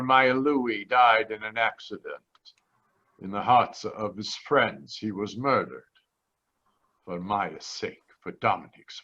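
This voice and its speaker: Muffled, halting Italian man, played by an actor. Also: mid-range voice, a bit bright. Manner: halting, formal broken English. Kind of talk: old-time radio drama acting. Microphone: video call. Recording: muffled video-call audio.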